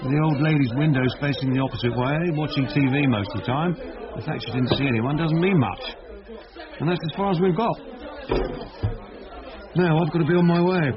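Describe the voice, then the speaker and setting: A man's voice: a little high, normal speed, long pauses, a crowd in the background, natural voice. Wry male investigator an actor, muffled broadcast. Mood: wry, matter-of-fact. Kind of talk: radio drama acting.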